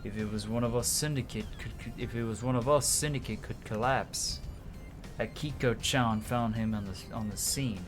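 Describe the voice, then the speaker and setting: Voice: mid-range voice, normal speed. Playful slangy game streamer over music. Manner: playful, slangy, hammy readings. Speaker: streamer, host of a gaming show. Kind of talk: live game stream, reading dialogue aloud with casual commentary. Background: video game music.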